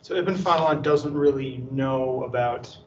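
A man's voice: mid-range voice, a little slow. A man in the audience poses long, winding questions to a speaker. He sounds far from the mic in an echoey room, with a dull tone and a faint hiss behind. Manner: thoughtful, rambling questions. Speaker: audience member asking questions. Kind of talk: academic question and answer.

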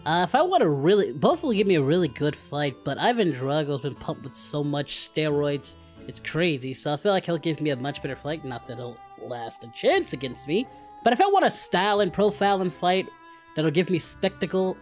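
A man's voice: fairly high pitch, animated, fairly quick, faint music behind, close to an everyday voice. A man voicing a parody of an anime martial-arts hero, cocky, playful and flirty, in improvised banter. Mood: easygoing, confident. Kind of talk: improvised in-character gaming banter.

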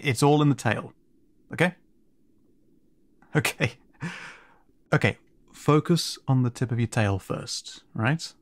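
A kind, awkward siren merman, acted by a man in an intimate audio roleplay. He takes his time, stammering and hesitating as he gently guides the listener. His mid-pitched voice sounds close and dry. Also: no room sound. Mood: encouraging, amused. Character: kind, awkward male siren merfolk guiding a newcomer.

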